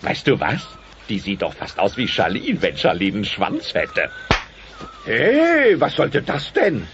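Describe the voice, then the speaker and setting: An actor playing a brash, leering, meddling dinosaur buddy in an audio drama, cheeky and full of exclamations. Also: male voice, huge pitch swings, measured pace, heavily acted. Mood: nosy. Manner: cheeky, exclaiming, swoops in pitch. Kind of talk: German children's audio drama acting. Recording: dull, noisy cassette transfer.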